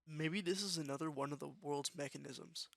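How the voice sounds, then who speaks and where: Man acting a creaky, lightish young gang junior, narrating. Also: a little high, no echo. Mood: resigned, wry. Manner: matter-of-fact, deliberate, slangy. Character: young male student gofer, junior gang member, matter-of-fact narrator. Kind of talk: first-person scripted narration, anime-style fan dub. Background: music with guitar.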